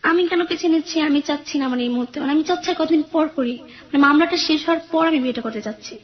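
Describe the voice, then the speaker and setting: Young woman, Bangladeshi accent, very high voice, rapid speech, interview guest, dull lo-fi recording. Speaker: guest telling her life story. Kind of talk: personal account in an interview.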